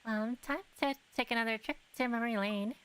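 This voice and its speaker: A woman's voice: on the high side, a little fast, a bit bright, slight background noise, poor audio. A woman giving casual gameplay commentary as a streamer, musing and hesitant as she thinks aloud. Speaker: game streamer.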